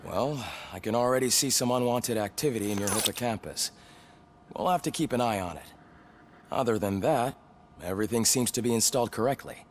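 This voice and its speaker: A man's voice: mid-range voice, brisk, a little hiss or hum, natural voice. An actor playing a breezy male police repair technician in a game cutscene, chatty and jokey. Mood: businesslike. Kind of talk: video game cutscene acting.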